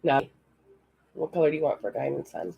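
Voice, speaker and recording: This is a woman giving a live psychic card reading on a stream. Her voice is raspy, and she speaks in a loose, chatty way, often stopping to answer viewers in the chat. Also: sits low, brisk, mellow, highs cut off. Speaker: psychic reader.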